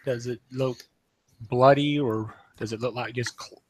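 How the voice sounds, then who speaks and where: A man's voice: medium pitch, steady tone, a little slow, darkish tone, no room sound. A man playing a tabletop game, talking casually and asking the game master questions. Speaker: player.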